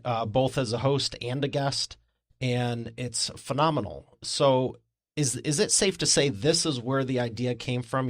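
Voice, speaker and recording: A man's voice: mid-range voice, dry recording, clean studio sound. A man hosting a podcast interview, asking friendly, encouraging questions. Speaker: podcast host.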